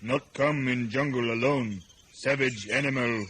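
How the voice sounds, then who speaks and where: Guy acting as wild jungle man, slow broken english, grunty, hard bright voice, old noisy radio serial. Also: medium pitch, close to an everyday voice. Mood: blunt, halting. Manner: terse, halting, grunting short phrases.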